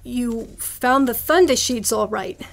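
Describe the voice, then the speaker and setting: Woman acting high-voiced, earnest young secretary. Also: slow, loud music behind the voice. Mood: surprised. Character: polite young female radio station secretary, earnest. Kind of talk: old-time radio drama acting, live on stage.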